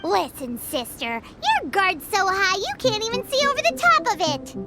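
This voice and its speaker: A woman voicing a tiny, cheeky fairy-like girl companion who chatters in a childlike, excitable way. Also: very high voice, normal speed, heavily acted. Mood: indignant. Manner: excitable, childlike, refers to herself by name. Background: game music.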